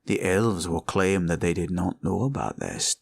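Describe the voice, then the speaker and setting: A man playing a scheming, condescending male green dragon villain in a roleplay ASMR audio, with a gravelly, husky voice and a slow, probing drawl. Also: low-pitched, full character voice. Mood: intrigued, calculating.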